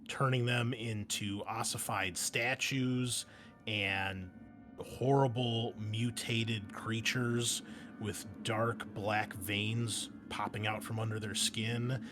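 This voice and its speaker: A man's voice: on the low side, a bit bright. A man speaking as game master and host, chatting casually with enthusiasm and slang. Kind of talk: casual table chat and game recap. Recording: noticeable background noise.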